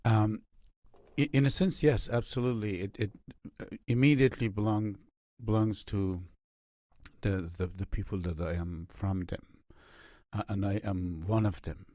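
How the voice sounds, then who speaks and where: Casting: middle-aged Afghan man, Farsi-accented English, very low dark voice, halting and searching for words, dry close recording. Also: steady tone, no echo. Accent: Afghan Persian. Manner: halting, many fillers and restarts. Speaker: interview guest, writer and academic. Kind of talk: reflective interview answers.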